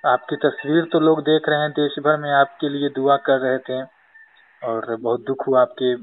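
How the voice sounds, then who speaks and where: A man's voice: mid-range voice, level tone, normal speed, dry recording. A man working as a journalist, interviewing someone on a recorded phone call.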